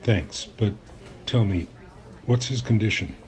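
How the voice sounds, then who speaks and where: An actor playing an earnest, curious journalist in an audio drama, speaking and narrating in a conversational, mildly skeptical way. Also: male voice, fairly low pitch, unhurried, a little mellow, lightly acted. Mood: polite, concerned. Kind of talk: audio drama acting with first-person narration.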